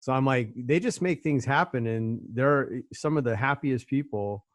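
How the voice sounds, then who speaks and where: A man's voice: medium pitch, moderate pace, dry recording. Rambling middle-aged man, dry close sound. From Orange County, California. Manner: conversational, rambling with fillers and repeated words. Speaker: host. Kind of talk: casual conversational interview.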